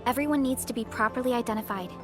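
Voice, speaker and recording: A woman playing a calm, considerate official in a video game cutscene, formal and measured. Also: fairly high pitch, close to an everyday voice. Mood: composed. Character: composed, considerate female industrial official offering aid. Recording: music behind the voice.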